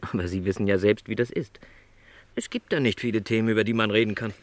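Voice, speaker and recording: A man playing a lonely, middle-aged travelling salesman in a radio play, polite, gentle and resigned, reflecting hesitantly. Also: fairly low pitch, steady tone, fast talker, dry recording, lightly acted. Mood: wistful, reflective. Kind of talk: German radio play dialogue.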